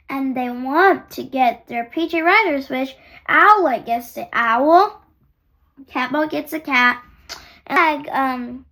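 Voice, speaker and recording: High, bright young female storyteller. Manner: sing-song, halting, addresses young listeners with questions. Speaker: storyteller reading a picture book aloud. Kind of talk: children's story read-aloud.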